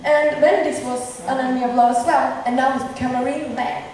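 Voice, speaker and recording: A woman's voice: high-pitched, fairly even intonation, fast, a little mellow, natural voice. A young woman playing a cheeky, teasing, outspoken lady's maid in an amateur Shakespeare stage comedy. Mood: mischievous. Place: amateur stage performance in a reverberant room. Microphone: distant recorder. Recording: very echoey hall, distant mic, faint noise.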